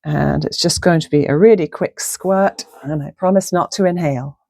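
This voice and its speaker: A middle-aged woman chatting casually through a sewing project in her home sewing room, hoarse from a chest virus, upbeat and friendly. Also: deep voice, moderate pace, dark tone, dry recording, studio quality. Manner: chatty and upbeat, talks while working. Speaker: sewing vlogger. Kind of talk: casual craft tutorial talk. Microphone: clip-on lapel mic.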